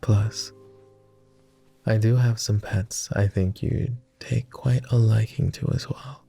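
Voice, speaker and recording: A man playing a tender, easily flustered vampire lord with formal phrasing and a soft, gravelly, breathy voice, in an intimate ASMR boyfriend roleplay. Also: fairly low pitch, fairly even intonation, slow, faint music behind, exaggerated voice. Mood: gently inviting. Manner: hesitant, formal old-fashioned phrasing.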